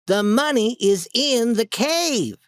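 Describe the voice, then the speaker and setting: A reader putting on a bold young boy adventurer, pitched well up and bursting with insistent excitement. He talks in lively, swooping lines, with a dry, close sound in a storybook reading. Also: a little slow, no echo. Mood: eager.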